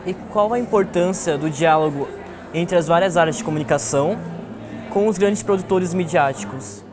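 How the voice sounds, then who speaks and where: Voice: mid-range voice, moderate pace. A Brazilian interviewer putting a question to a guest. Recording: music and crowd noise behind. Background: music, crowd noise.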